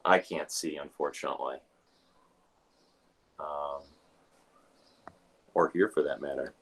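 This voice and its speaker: A minister hosting an online service, giving announcements and introducing a guest in a halting way, with many filler sounds. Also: male voice, a bass voice, steady tone, a bit bright, slightly rough.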